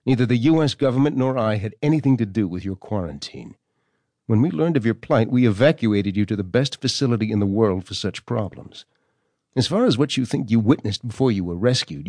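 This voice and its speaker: An actor playing a stern, formal government doctor reading out his correspondence in a measured, condescending way for an audio drama. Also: fairly low pitch, warm tone, no room sound, clean studio sound. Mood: stiff, defensive.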